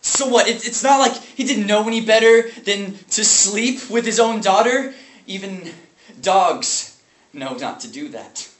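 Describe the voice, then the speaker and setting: A man's voice: medium pitch, unhurried, fairly bright tone, recorded from a distance, close to an everyday voice. Man acting a haunted, bitter grieving brother, echoey room. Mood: anguished anger. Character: grieving, traumatized brother bitter about his abusive family. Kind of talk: dramatic stage monologue. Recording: echoey room with faint noise.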